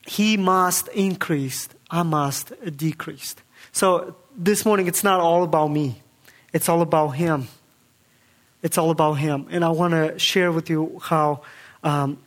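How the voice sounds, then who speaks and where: A young man from Kazakhstan, giving a halting, earnest church testimony with many fillers and restarts. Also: a little high. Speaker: guest speaker giving testimony.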